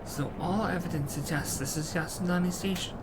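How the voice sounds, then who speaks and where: A man's voice: high voice, a little fast, very noisy. A man streaming a video game, reading its dialogue aloud and adding his own commentary, sometimes stumbling and repeating words. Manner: acts out on-screen dialogue, with slips and repeats. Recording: game music under the voice. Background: video game music.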